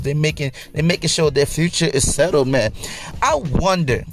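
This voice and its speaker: A man in his late twenties hosting a casual motivational podcast, talking colloquially to listeners and repeating phrases for emphasis. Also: a little high. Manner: colloquial, conversational address to listeners. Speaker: podcast host.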